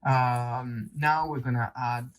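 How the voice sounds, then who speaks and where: An Italian-accented man talks through a recipe in a home kitchen, restarting phrases and circling back to find his words. His voice sits at an ordinary middle pitch. A faint hiss runs under the recording. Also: fairly even intonation. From Italy. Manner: halting, repeats words while searching for them. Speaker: cooking show co-host. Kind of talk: casual cooking demonstration.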